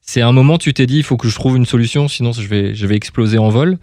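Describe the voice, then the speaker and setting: A dry, close-sounding man with a medium-pitched voice hosts a radio interview. His delivery is casual and rambling, and he often repeats words and rephrases as he goes. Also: quick pace, a little mellow, no echo.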